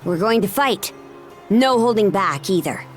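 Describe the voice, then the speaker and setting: A woman's voice: medium pitch, lively intonation, a little slow, faint music behind, natural voice. A woman acting a bold, blunt young warrior princess in a video game cutscene. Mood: commanding, combative.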